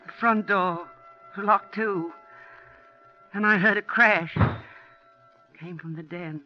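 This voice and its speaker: A woman's voice: fairly low pitch, slow, faint music behind. An actress playing a genteel, motherly middle-aged woman who narrates her own ordeal in a 1940s radio drama. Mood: hushed recollection. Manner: genteel, motherly, growing frantic. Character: kindly widowed woman, mother of two servicemen, terrified. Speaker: narrator and lead character. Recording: muffled 1940s radio broadcast.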